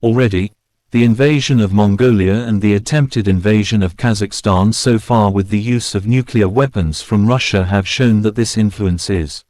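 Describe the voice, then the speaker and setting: A man playing a grave government official delivering a formal, measured, scripted address to an assembly in a war drama. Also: lowish voice, a little mellow, no room sound, clean studio sound, lightly acted. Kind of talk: scripted political address in mock war scenario.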